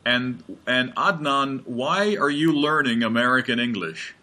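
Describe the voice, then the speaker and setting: An older man hosting, asking slow, clear, encouraging questions over a video call. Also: medium pitch, sharp tone. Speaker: host. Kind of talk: friendly interview questions.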